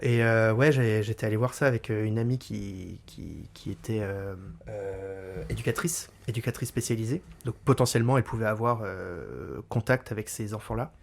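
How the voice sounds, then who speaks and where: Low-voiced man, halting casual chat. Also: creaky. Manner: halting, searching for words. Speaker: podcast panelist.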